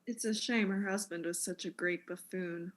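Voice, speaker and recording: An actress playing a vain, self-important fashion mogul in a comic audio drama, arch and condescending in manner. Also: female voice, on the low side, fairly even intonation, moderate pace, close to an everyday voice. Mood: haughty, airy.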